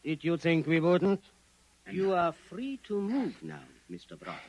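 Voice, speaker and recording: Haughty alien agent with animated intonation. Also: male voice, fairly high pitch, measured pace, dry recording, muffled recording, exaggerated voice. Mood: commanding. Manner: formal, condescending, imperious. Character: haughty, coolly ruthless Venusian agent, about sixty. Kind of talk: 1950s radio drama acting. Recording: vintage 1950s radio recording.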